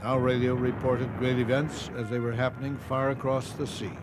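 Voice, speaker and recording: A man's voice: medium pitch, little pitch movement, normal speed, background noise. A man delivering scripted documentary narration as the narrator of a history film.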